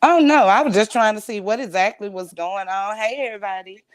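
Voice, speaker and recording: A woman's voice: mid-range voice, brisk, no echo, studio quality. A woman in her thirties chatting casually on a livestream, with sassy, playful, slangy banter. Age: 30s. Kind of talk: casual gossipy chat.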